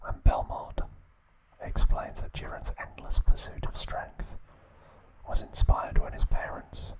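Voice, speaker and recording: A man whispering an ASMR recap of trivia, pausing now and then to correct himself. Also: low-quality recording. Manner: slow soft whisper, occasional self-corrections. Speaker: ASMR host.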